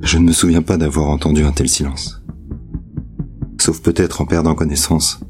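Audio drama monologue: grave, disillusioned reporter, very low mellow voice, solemn and literary. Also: male voice, level tone, moderate pace, soft background music. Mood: haunted. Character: Andreï, grave, disillusioned male journalist confessing truth.

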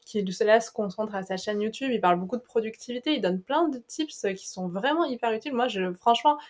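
Chatty, slightly high-voiced woman host. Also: brisk, darkish tone. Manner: chatty, enthusiastic, casual. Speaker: podcast host. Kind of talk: podcast interview.

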